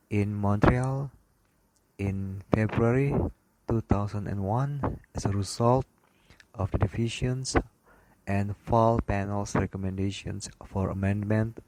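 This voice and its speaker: A man with a fairly low voice reads a lecture from his slides. He goes slowly and haltingly, a few words at a time, sometimes stumbling. There is clear background noise and clicking on the recording. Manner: halting, word-by-word reading with stumbles. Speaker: aviation lecturer. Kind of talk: read-aloud slide lecture.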